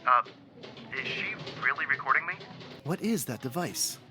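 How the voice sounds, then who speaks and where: An actor playing a matter-of-fact police sergeant in an old-time radio detective drama, with a dry, procedural, folksy way of talking. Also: male voice, mid-range voice, animated, normal speed, bright, forceful tone, soft background music. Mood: bemused.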